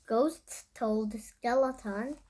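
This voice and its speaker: A child host reading a picture book aloud to viewers in a halting, deliberate way. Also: medium pitch, a little mellow. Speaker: storyteller and video host.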